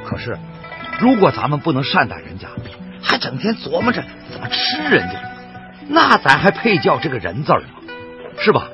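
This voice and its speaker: Middle-aged boatman, radio drama, chatty, earnest, animated intonation, lo-fi sound. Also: a little high, normal speed. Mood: earnest, pleading. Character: earnest, good-hearted middle-aged boatman who protects birds. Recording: muffled lo-fi broadcast with music behind.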